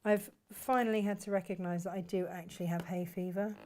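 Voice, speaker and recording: A woman hosting a sewing tutorial, her voice a little croaky from hay fever, chatting casually to viewers while she cuts fabric. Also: on the low side, fairly even intonation, a little fast, darkish tone, a little hiss or hum. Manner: chatty, self-deprecating, talks to viewers while working. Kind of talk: casual craft demonstration.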